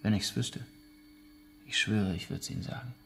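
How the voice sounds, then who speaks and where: A man's voice: fairly low pitch, steady tone, normal speed, gravelly, fairly bright tone, slight background noise. An actor playing a resigned, subdued man under questioning, speaking in terse, clipped sentences in a naturalistic radio drama.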